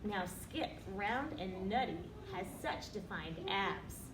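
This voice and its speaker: A woman reading aloud as a children's storyteller, with expressive, deliberate picture-book phrasing. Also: medium pitch. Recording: roomy, distant, noisy recording.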